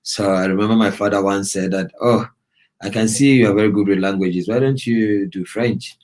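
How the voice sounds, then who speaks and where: Low-voiced man searching for words. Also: steady tone, moderate pace, darkish tone. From Ghana. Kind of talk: casual live-stream chat.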